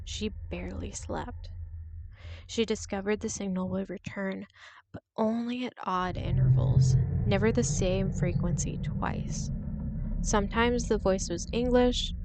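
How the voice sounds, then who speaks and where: Slow-paced woman narrator over heavy noise. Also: mid-range voice. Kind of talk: scripted suspense story narration.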